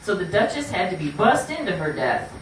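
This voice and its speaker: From across an echoey room, a woman hosts a puppet show as its storyteller. Her delivery is folksy and playful, with very lively swings in pitch as she speaks straight to the listeners. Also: brisk. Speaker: narrator and host of a puppet show. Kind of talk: live theatrical storytelling to an audience. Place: live show in a room, away from the mic. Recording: echoey room from far off, noisy.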